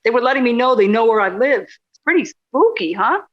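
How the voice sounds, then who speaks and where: A sarcastic woman reporter played by an actress in a radio drama. Her voice sits a bit high, with wry, indignant delivery, and the sound is slightly dull and muffled. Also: big pitch swings, a little fast. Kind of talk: radio play dialogue.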